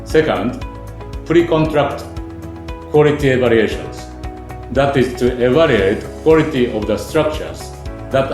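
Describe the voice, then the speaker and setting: A man's voice: mid-range voice, darkish tone, echoey room. A man giving a scripted corporate narration, steadily listing points one by one.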